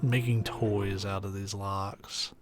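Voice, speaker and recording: A young man with a soft, soothing voice, chatting casually and rambling while he plays a video game on stream. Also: on the low side, slow. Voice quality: soft, soothing, sleepy-sounding voice. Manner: rambling, laid-back, many "like"s and "uh"s. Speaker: let's play streamer. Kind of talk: casual commentary while gaming. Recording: steady background noise hiss.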